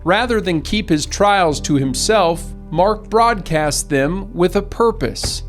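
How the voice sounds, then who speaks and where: A man's voice: high voice, expressive, takes it slowly. A man with an American accent reading scripted narration for a sports feature. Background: background music.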